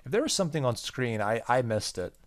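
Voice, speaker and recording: A man's voice: mid-range voice, sing-song, fairly quick. A man hosting a gaming video, reading story narration aloud and adding casual, joking asides. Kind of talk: let's play commentary, reading game narration aloud.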